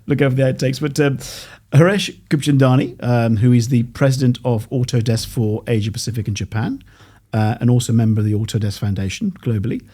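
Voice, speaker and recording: A male podcast host introducing his guest in a halting way, with many pauses and restarts. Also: medium pitch, mellow. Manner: halting, many fillers and restarts, self-deprecating. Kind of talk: interview introduction.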